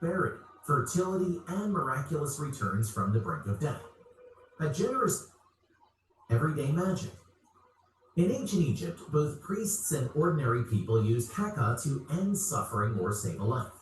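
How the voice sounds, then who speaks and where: A man's voice: a little high, very animated, moderate pace, slight background noise. A man narrating a documentary clip in a dramatic reading style. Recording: very echoey, distant documentary clip.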